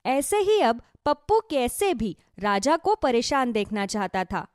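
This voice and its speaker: A woman's voice: fairly high pitch, very animated, a little slow, no room sound, clean studio sound. A woman telling a scripted children's moral story as narrator.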